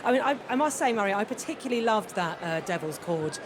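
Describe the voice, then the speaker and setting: A woman's voice: medium pitch, animated, fast. A woman presenting a live radio interview from a large concert hall, chatty and enthusiastic. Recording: background noise of a busy venue.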